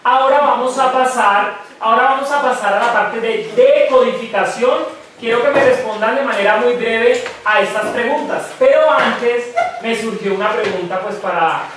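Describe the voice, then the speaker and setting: A man's voice: extremely high pitch, normal speed, few pauses, a bit bright, a little hiss or hum. A man with a Colombian paisa accent teaching a French class, patiently asking students for their thoughts and encouraging them. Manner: patient, encouraging, asks questions. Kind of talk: classroom discussion. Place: school classroom. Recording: echoey classroom, distant mic.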